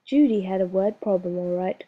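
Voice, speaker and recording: A woman narrating a children's storybook in a slow, expressive reading with lively emphasis. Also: mid-range voice, phone-quality sound. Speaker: audiobook narrator.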